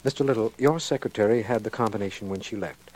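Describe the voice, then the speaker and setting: An earnest lawman played by a male actor in an old radio detective drama. His voice is fairly low, formal and sober. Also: quick pace, a little mellow, slight background noise, natural voice, poor audio. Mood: polite, official. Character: sober, official male investigator, detective's polite friend. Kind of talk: late 1940s radio drama acting. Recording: old 1940s radio recording.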